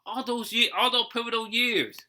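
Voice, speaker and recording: Guy in his 30s, really high bright voice, reacting casually, blunt and sweary, keeps repeating himself. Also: fairly even intonation, normal speed. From United States. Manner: repetitive, outspoken, frequent swearing. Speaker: reaction video host. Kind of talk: casual, impassioned commentary.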